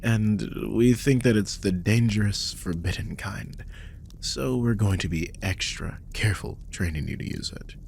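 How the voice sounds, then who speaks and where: A man playing a protective, guilt-ridden magical guardian in an intimate roleplay audio drama, speaking softly and hesitantly in a husky, breathy voice that often drops toward a whisper. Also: fairly low pitch, big pitch swings, measured pace, slight background noise, exaggerated voice. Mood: remorseful, explaining. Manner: soft, hesitant, soothing, with frequent sighs.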